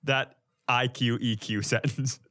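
A man's voice: mid-range voice, steady tone, a little slow, fairly bright tone, a little hiss or hum. Wry man in casual podcast banter. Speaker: comedy podcast co-host.

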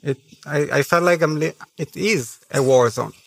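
Higher-voiced man with an Israeli accent. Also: normal speed, creaky, a bit bright. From Haifa, Israel. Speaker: panel speaker. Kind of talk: personal public speech at a conference. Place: on stage at a conference.

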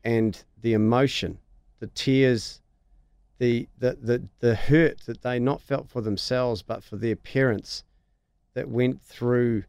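A man with a New Zealand accent, speaking as a member of parliament on a radio panel, measured and earnest. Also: medium pitch, steady tone, darkish tone, clear, resonant tone, dry recording, clean studio sound.

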